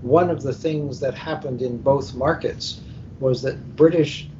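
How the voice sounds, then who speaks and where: An older American man speaks as a panel guest, deliberate and professorial, often pausing mid-thought. His mid-pitched voice comes over a muffled internet call with a faint rumble underneath. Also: steady tone, mellow. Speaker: book editor, panel guest. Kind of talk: discursive panel conversation. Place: hotel room at a convention, internet call.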